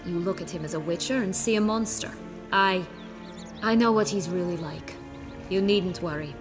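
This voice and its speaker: A woman playing a haughty, cynical noblewoman with old wounds in a fantasy video game, measured and sardonic with a theatrical touch. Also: lowish voice, music in the background, lightly acted. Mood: determined.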